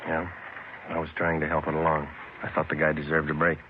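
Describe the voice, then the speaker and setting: An actor playing an earnest, weary American police sergeant in a 1950s radio drama, speaking in a clipped, matter-of-fact way. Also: male voice, extremely low pitch, monotone, a little fast, slight background noise. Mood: subdued, earnest. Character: earnest, weary male police detective sergeant narrating his case. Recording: lo-fi old radio recording with music.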